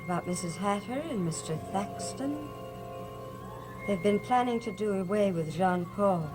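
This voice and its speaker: An actress playing a giddy, scheming, possessive woman in a gothic soap opera, speaking in a theatrical, deliberate way. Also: mid-range voice, expressive, lightly acted. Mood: conspiratorial, commanding. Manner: theatrical, deliberate, sing-song. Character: giddy scheming woman, possessive, grandly commanding her mute servant. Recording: old soap soundtrack with music underneath.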